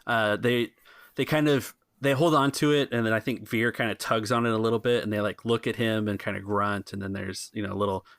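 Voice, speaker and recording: Low, creaky-voiced male game master. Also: fairly even intonation, fairly quick, a bit bright, no room sound, studio quality. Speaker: game master, podcast host. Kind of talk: casual tabletop game narration.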